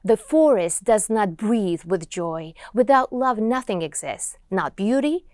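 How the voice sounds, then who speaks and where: A woman whose first language is Georgian, speaking as an interview guest and reciting poems in a slow, earnest, expressive way. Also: mid-range voice, dry recording, studio quality. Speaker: guest poet.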